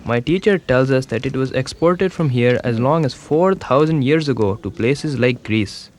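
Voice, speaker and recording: A man voicing a young village schoolboy, telling his story in a calm, measured documentary voice-over. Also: mid-range voice, natural voice. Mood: earnest. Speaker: documentary narrator. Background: music.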